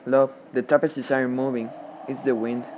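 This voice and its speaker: A man's voice: mid-range voice, flat delivery, fairly quick, slight background noise, close to an everyday voice. A man playing a troubled, delusional widower who tells his gothic tale in literary wording for a radio drama. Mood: reassuring. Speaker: lead actor and narrator. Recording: music under the voice. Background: loud music.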